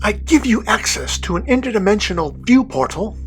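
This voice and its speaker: A woman's voice: medium pitch, big pitch swings, brisk, soft background music, lightly acted. A woman playing an imperious, condescending Prince of Darkness in a scripted comedy podcast skit. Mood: scornful, impatient. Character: haughty, scornful Prince of Darkness, demanding master.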